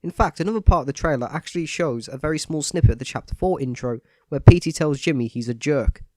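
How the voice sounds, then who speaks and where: A man's voice: fairly high pitch, quick pace, darkish tone, no room sound. A man with a cold and a stuffy nose, giving a scripted, matter-of-fact voice-over analysis of a video game.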